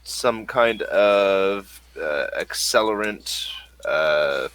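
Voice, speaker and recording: A man running a tabletop game as game master, talking haltingly with many pauses and restarts. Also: low voice, lively intonation, fairly bright tone, clean tone, no echo.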